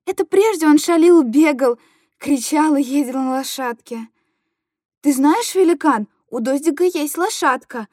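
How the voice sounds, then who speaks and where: A woman's voice: high voice, animated, unhurried, a little mellow, dry recording, studio quality. A woman playing an anguished, tender mother who coos simple, repetitive stories to her little boy in a dramatic monologue. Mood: tender, playful, then playful scolding. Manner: cooing, repetitive, childlike storytelling to a small child. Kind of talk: dramatic literary monologue reading.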